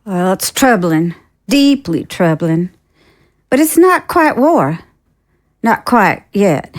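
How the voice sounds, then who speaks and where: A woman's voice: mid-range voice, very animated, takes it slowly. A woman playing a skeptical, imperious senator in an audio drama, with a pointed, formal, theatrical delivery. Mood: troubled, skeptical.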